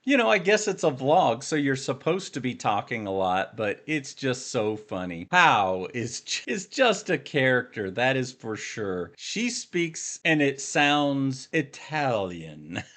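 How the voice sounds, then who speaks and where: An adult man hosts a reaction video from home, chatting into a desk mic. His voice sits in a middle range, and he rambles warmly through his comments, circling back often with "again". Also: medium pitch, very animated, slow. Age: adult military veteran. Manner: chatty, keeps repeating "again".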